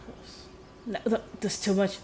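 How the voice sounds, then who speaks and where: A woman's voice: mid-range voice, level tone, measured pace, noisy background. A woman game streamer chatting casually to her viewers during a livestream. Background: music.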